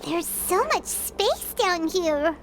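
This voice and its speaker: A woman voicing a tiny, childlike, excitable fairy companion with a squeaky voice in a video game. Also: takes it slowly, noisy background, exaggerated voice. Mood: curious, concerned. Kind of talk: scripted video game voice acting.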